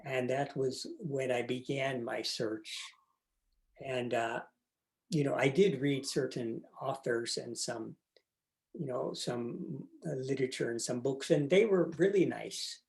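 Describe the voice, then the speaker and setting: Gravelly older man reflecting on a call. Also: medium pitch, a little mellow. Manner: thoughtful, pausing with fillers, light laughs. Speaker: interview guest, monk. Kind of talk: reflective personal account.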